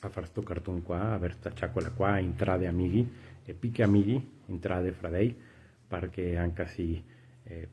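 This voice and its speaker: A man from Mexico with a Chipilo Venetian accent, giving an informal, halting greeting as community representative. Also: sits low, steady tone, warm tone, slight background noise.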